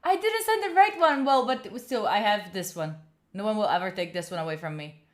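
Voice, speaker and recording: A woman's voice: medium pitch, lively intonation, a little fast. A young woman with an Italian accent chatting casually on a live stream, rambling and giggling with lots of fillers. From Italy. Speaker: study streamer.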